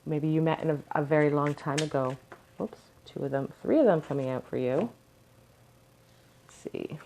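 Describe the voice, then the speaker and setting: A woman with a low, mellow, slightly crackly voice reads tarot cards at home. She speaks earnestly and gives advice, often pausing with ums and restarting sentences. A faint hiss sits under her voice. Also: vocal fry. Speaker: tarot reader. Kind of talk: pick-a-card tarot reading.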